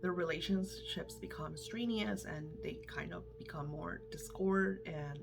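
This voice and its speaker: A woman's voice: lowish voice, unhurried, creaky. A middle-aged woman coaching her viewers in casual, candid talk, emphatic and swearing freely, her voice a little worn as if she is losing it. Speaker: manifestation coach.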